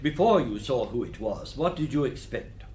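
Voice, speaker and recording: An actor playing a formal, probing, courteous coroner with an English accent in a British radio drama, questioning precisely. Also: male voice, mid-range voice, animated, normal speed, a little mellow, slight background noise, close to an everyday voice.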